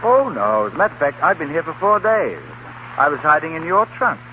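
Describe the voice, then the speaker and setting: Casting: teasing, offhand young doctor, 1930s radio drama, thin phone-like sound with faint hiss. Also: male voice, a little high, sing-song, a little fast. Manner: joking, offhand. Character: breezy, teasing young doctor, engaged to be married.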